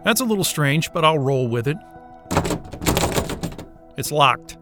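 A man's voice: on the high side, animated, slow, over music. A man playing a weary, foul-mouthed astrophysicist in an audio drama, with a sarcastic, grumbling manner. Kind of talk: naturalistic audio drama acting.

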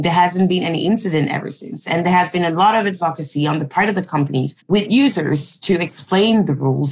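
A woman from Cameroon, explaining her advocacy work on a panel in a measured way, correcting herself now and then. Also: low voice. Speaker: digital rights advocate. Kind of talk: panel discussion answer.

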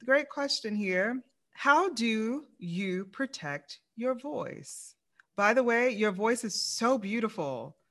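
Slow-talking bright woman host. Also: on the high side, expressive. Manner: bubbly, slow and drawn out, slangy. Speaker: interview host. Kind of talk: casual interview chat.

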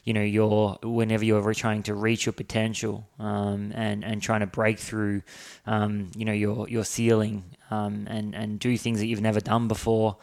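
A man hosting a podcast, introducing the episode with a sore throat and a hoarse voice, rambling with many fillers and restarts. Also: on the low side, monotone, moderate pace, a little mellow. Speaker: podcast host.

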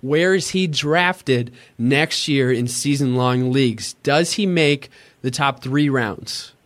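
A young man with a high, squeaky voice co-hosting a casual fantasy sports podcast, excitable and jokey. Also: slow. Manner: excitable, cheers at the game, jokey. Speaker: podcast co-host.

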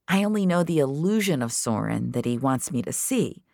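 Very deep-voiced woman narrating, dry studio sound. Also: sing-song, moderate pace, gravelly, darkish tone, no room sound. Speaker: narrator of an audio fiction series. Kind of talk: first-person scripted dramatic narration.

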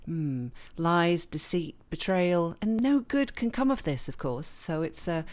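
A woman from England, raised in Switzerland, with an English accent, chatting warmly and laughing often as a novelist being interviewed. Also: lowish voice, animated, measured pace. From born in England, grew up in Switzerland. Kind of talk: casual interview chat.

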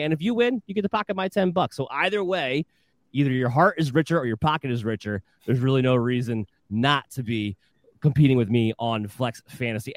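A man hosting a live fantasy football podcast, energetic and upbeat, with a slightly hoarse voice. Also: medium pitch, dry recording.

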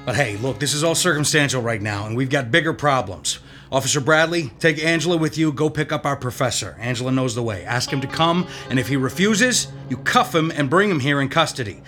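An actor playing a tough police detective giving orders in a radio drama. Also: male voice, mid-range voice, animated, a little fast, pushed and bright, soft background music. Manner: urgent commanding.

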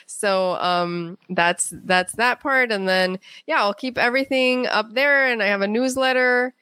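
A woman talking casually and informally as an interview guest, chatty and animated. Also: on the high side, a little slow, clean tone, dry recording. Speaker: guest, playwright and author.

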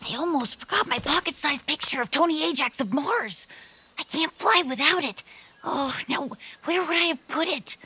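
A high-voiced, dreamy young inventor girl. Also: normal speed, bright, forceful tone. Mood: searching, fond. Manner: whimsical, earnest, talks to herself. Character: dreamy, plucky young female inventor eager to fly. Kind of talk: family audio drama acting.

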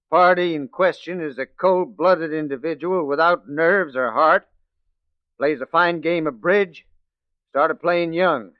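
A man plays a crafty American police detective passing as a crook in a vintage radio crime drama. He speaks deliberately and with theatrical command in a fairly high male voice. The old recording is dry and dull. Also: no room sound, close to an everyday voice. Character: shrewd police detective posing as a crooked forger. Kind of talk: 1940s radio acting.

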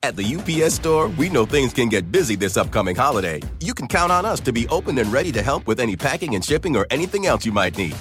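A man's voice: mid-range voice, very animated, fast, few pauses, over music. A man reading a lightly comic, friendly radio ad. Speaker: ad announcer. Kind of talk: scripted radio ad.

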